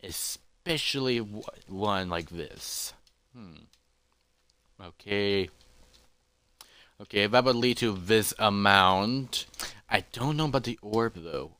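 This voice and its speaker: A man's voice: on the low side, takes it slowly, long pauses, pushed and bright, smooth, no echo. A man voicing an anxious, easily distracted elephant adventurer who mutters to himself with repeats and hesitations. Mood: pondering. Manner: fretful self-talk with repeats and hesitations.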